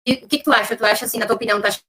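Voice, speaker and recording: A woman's voice: fairly high pitch, steady tone, racing, a bit bright. A woman, asking questions conversationally as a podcast interviewer.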